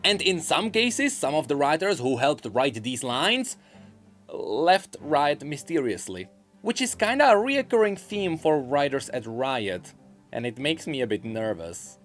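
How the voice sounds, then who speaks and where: Guy doing game lore commentary, slightly high voice, super animated up and down, emphatic explainer, quiet music behind. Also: a little slow. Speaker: video game lore YouTuber. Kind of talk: scripted commentary on game voice lines.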